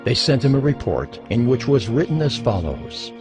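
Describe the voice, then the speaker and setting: Expressive male scripture narrator. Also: medium pitch, moderate pace. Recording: music behind the voice.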